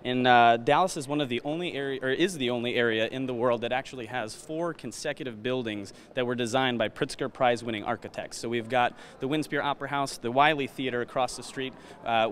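A man's voice: mid-range voice, a bit bright, slight background noise. A man from the arts center staff explaining the building during a guided tour, with frequent fillers as he talks in a large glass-walled lobby. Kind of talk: informative guided tour talk.

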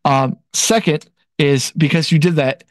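A man's voice: fairly high pitch, steady tone, unhurried, no room sound. A man co-hosting a show, bluntly mocking what he watches, on a headset mic at home. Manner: blunt, mocking, does silly impressions. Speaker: co-host reacting to a video. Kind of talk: casual chat.

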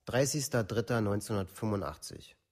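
An actor playing a teenage murder suspect in a documentary radio drama, terse and formal as in a police statement. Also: male voice, lowish voice, brisk, darkish tone, natural voice. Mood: terse, guarded. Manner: terse, detached, formal recitation.

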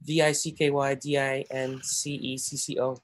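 A man's voice: mid-range voice, normal speed. A young man, a native Spanish speaker, chatting casually as a podcast co-host on a headset video call from a room at home, acting out family voices. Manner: animated, acts out family voices.

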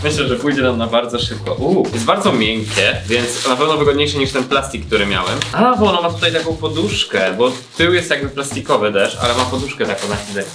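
A man's voice: mid-range voice, animated, fairly quick, hardly any pauses. A young man in his twenties, chatting upbeat to viewers as he makes over a corner of his room, recorded on a camera mic. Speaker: vlogger. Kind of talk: casual room makeover vlog. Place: bright bare room, indoors. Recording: echoey empty room.

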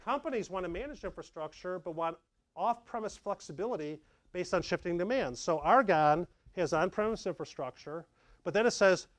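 Middle-aged man, slightly high voice, chatty presenter, dry sound. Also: moderate pace, no echo. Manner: lively, conversational, acts out examples. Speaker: tech presenter. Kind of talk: meetup slide presentation. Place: dark meeting room with projector.

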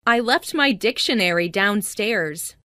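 A woman reading short English dialogue lines slowly and clearly, repeating each phrase three times for learners. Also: mid-range voice, animated, pushed and bright, no room sound, studio quality. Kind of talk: slow scripted phrase repetition.

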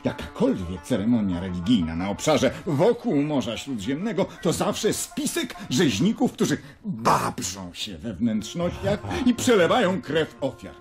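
Very animated, scornful Roman cynic. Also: male voice, mid-range voice, unhurried, few pauses, faint music behind. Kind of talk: radio drama acting.

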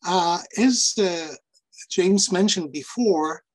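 An older man with an Israeli accent, a guest speaker on a video call, telling his career in halting English and searching for words. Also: sits high, husky. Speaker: guest speaker, architecture professor. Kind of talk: personal talk on a panel. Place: home office with shelves. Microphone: video call webcam.

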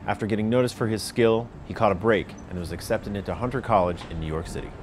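A man reading a scripted news narration with crisp, emphatic delivery. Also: on the low side, fast, slight background noise.

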